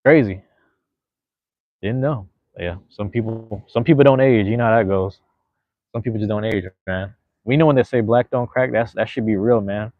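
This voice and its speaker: A man in his thirties talks in a loose, rambling way, full of slang and casual swearing. His voice sits fairly low. The recording is dry and dull, with a lo-fi sound. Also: moderate pace, dry recording. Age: 30s. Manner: rambling, laid-back, slangy, swears freely. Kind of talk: casual chat.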